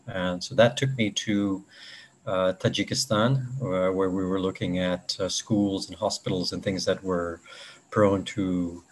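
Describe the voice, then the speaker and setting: A man in his sixties from Kenya, a podcast guest telling his life story in a halting way with many fillers and restarts. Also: on the low side, a little hiss or hum, low-quality recording. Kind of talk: casual interview.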